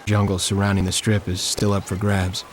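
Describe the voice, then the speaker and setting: Man playing a low-voiced weary young American Marine. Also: completely flat delivery, normal speed, slight background noise, natural voice. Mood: grim, resolute. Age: young adult. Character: weary, earnest young American WWII Marine writing home. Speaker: narrator. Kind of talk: scripted letter narration. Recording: music playing behind the voice. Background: music.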